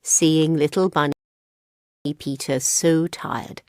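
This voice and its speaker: A woman narrating a scripted fairy tale for toddlers in an expressive, simple storybook manner. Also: deep voice, slow, clear, resonant tone, dry recording, clean studio sound. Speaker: children's storyteller.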